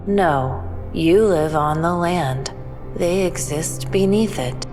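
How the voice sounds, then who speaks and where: A woman playing a serene, all-knowing alien guest in an audio drama, speaking in a measured, detached manner. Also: on the low side. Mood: serene, certain. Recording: long reverb, clear background music.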